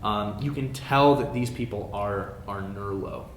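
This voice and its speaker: Guy running a fantasy tabletop game, halting as he makes it up, boomy room with slight rumbly noise. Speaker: game master. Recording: roomy echo, faint background noise, rumble.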